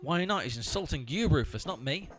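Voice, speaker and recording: A man's voice: on the high side, expressive, brisk, fairly bright tone, soft background music. A man playing a dry, sardonic, slightly bossy straight man in an amateur comedy audio drama.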